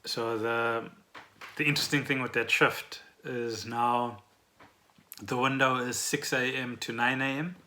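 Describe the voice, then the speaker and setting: A man co-hosting a casual podcast, speaking in a halting, unscripted way. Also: medium pitch, fairly even intonation, pushed and bright. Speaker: podcast co-host. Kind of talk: casual conversational podcast chat.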